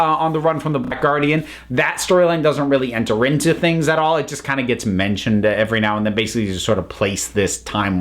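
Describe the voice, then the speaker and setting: A man's voice: medium pitch, fairly bright tone. A man giving a casual, unscripted review, chatty and rambling as the host.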